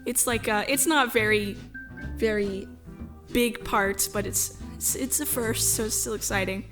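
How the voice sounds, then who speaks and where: A woman's voice: mid-range voice, a little slow, music in the background. A young woman talking casually as an interview guest, giggly and self-deprecating. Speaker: guest, amateur voice actor. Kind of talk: casual chat.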